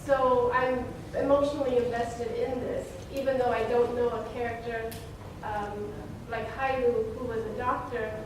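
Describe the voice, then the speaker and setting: A woman's voice: on the high side, flat delivery, normal speed. Ethiopian-born woman answering from afar in echoey hall. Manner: reflective, hesitant with many ums. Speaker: novelist at a panel. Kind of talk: answering audience questions. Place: conference room. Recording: echoey room, far from mic, noisy.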